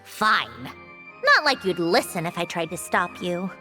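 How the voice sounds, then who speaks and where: A woman's voice: fairly low pitch, sing-song, a little slow, over music. A woman playing a proud, guarded young woman with a secretly tender side in an English anime game dub. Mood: grudging, teasing.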